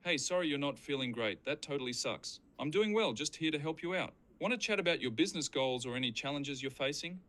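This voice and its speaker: A man's voice: on the high side, lively intonation, a little fast, few pauses, a bit bright, rough recording. A male AI assistant voice chatting casually as a helpful business coach.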